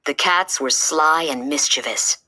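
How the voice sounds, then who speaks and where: A woman reading a children's story aloud in an expressive, dramatic storytelling manner. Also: low-pitched, unhurried. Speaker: storybook reader.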